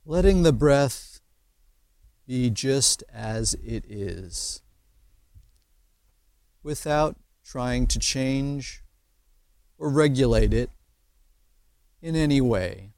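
A man leads a guided imagery meditation in a mid-pitched voice. His delivery is extremely slow and measured, with pauses between short phrases. The sound is dry, with little room around it. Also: lively intonation, darkish tone, no room sound. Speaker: meditation teacher.

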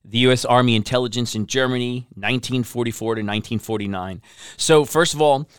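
A man's voice: medium pitch, brisk, smooth, no echo, clean studio sound. A man hosting an interview podcast, upbeat and engaged as he introduces and questions his guest. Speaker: podcast host. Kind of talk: hosted interview.

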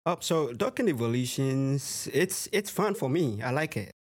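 Earnest young sailor. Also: male voice, mid-range voice, animated, moderate pace, slightly rough. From Ghana. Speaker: sailor interviewee. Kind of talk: casual interview answers.

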